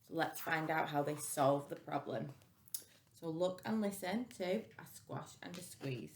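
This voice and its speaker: A woman's voice: low voice, expressive, a little slow, noisy background. A woman hosting a children's story time, reading a rhyming picture book aloud expressively.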